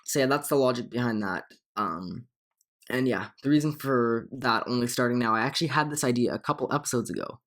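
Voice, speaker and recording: A slightly hoarse young man with a cold, talking casually over game footage as a channel host, rambling with frequent ums and restarts. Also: medium pitch, fairly quick, a bit bright. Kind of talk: casual gameplay commentary.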